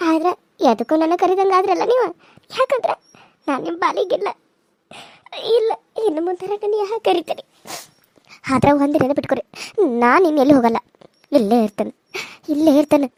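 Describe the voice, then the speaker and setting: A woman's voice: very high voice, lively intonation, moderate pace, pauses often, darkish tone, no room sound. A woman playing a sobbing young woman. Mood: grieving. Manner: wailing, accusing, vowing revenge. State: crying. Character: grieving young woman wailing for her dead mother.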